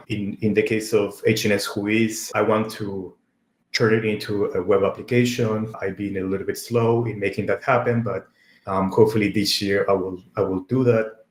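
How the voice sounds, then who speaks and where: A man from Argentina, a native Spanish speaker, giving an informal tech talk in a conversational, halting manner with repeated words. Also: fairly low pitch. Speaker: conference speaker. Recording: echoey, roomy sound.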